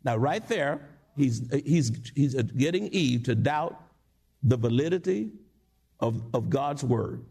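A male pastor preaching a sermon in church, with call-and-response and sudden high-pitched asides. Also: expressive, slow, vocal fry, mellow, slightly rough. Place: church sanctuary.

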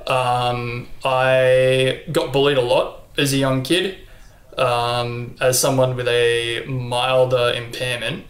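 A young man with an Australian accent chats easily as an interview guest, filling his answers with ums and likes. His voice sits at an ordinary pitch for a man. The room around him rings with noticeable echo. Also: takes it slowly. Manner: relaxed, chatty, frequent ums and likes. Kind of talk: casual interview chat. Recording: echoey room.

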